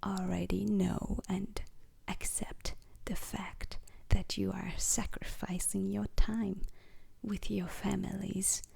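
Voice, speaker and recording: A woman playing a solemn, strict alien instructor in an ASMR roleplay, lecturing deliberately in a breathy whisper. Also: mid-range voice, flat delivery, dark tone, exaggerated voice. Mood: solemn, instructive.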